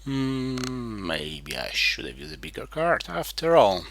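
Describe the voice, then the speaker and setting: A man playing a strategy game and thinking aloud in terse, halting remarks, muttering to himself as he weighs his moves. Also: medium pitch, wildly swooping pitch, fairly bright tone. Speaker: board game player.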